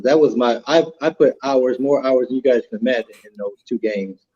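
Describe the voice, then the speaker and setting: A man's voice: medium pitch, brisk, warm tone, husky. A man chatting casually as co-host of an online panel show. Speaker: podcast co-host. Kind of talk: casual chat. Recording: dull lo-fi online call audio.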